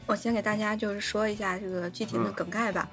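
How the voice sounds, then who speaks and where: A woman's voice: mid-range voice, steady tone, fast talker, vocal fry, a bit bright, soft background music. A woman co-hosting a casual film discussion, going step by step with repeated linking words. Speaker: podcast co-host.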